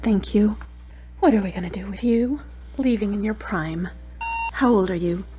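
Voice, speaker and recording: An actress playing a grieving adult daughter in an audio drama, talking tenderly and plaintively. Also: female voice, medium pitch, normal speed, close to an everyday voice. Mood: tender, wistful. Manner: tender, plaintive, talking softly to a loved one. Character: grieving adult daughter at her mother's deathbed.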